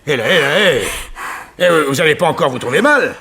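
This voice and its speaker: An actor playing a kind, jovial, protective gentleman in a 1960s French radio serial, talking familiarly and solicitously with many interjections. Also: a little high, expressive, fairly quick, gravelly, slight background noise, full character voice.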